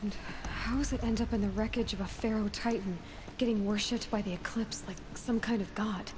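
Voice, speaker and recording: A woman's voice: mid-range voice, steady tone, fairly quick, background noise, close to an everyday voice. An actress playing a determined, questioning young woman adventurer in a video game, speaking directly. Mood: puzzled, determined. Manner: inquisitive, direct.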